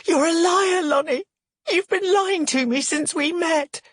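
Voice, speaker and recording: A woman's voice: extremely high pitch, measured pace, no room sound, studio quality, pitched above the performer's own voice. A woman playing a distraught, furious heroine in an audiobook, accusing and pleading in an emotional raised voice. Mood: furious sobbing. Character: distraught, accusing woman confronting her lover, furious and tearful.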